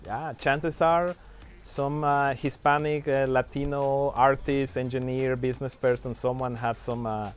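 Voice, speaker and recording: A man chats casually on a game livestream, often restarting his sentences and trailing off. His voice sits at an everyday middle pitch, and faint music plays behind him. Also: flat delivery, a little slow. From Mexico. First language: Spanish. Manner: hesitant, many restarts and fillers. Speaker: livestream guest.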